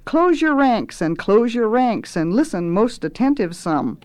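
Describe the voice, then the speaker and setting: A woman's voice: a little high, very animated, a little slow, a little mellow, no room sound, pitched above the performer's own voice. A woman voicing a bloated, drunken male head cook in a storybook, bombastic and wheedling as they relish every insult. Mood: gloating, commanding. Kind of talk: dramatic storybook reading.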